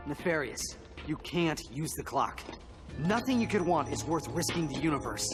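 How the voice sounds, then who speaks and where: A man's voice: on the high side, big pitch swings, normal speed. A man voicing an earnest young alien hero who speaks with urgency, over game music. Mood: urgent.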